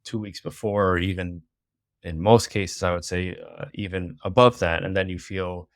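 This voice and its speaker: A man's voice: low voice, fairly even intonation, normal speed, no room sound, clean studio sound. A man who works as a teacher, chatting as a podcast guest, hesitant and often repeating words and saying "you know". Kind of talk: casual podcast conversation.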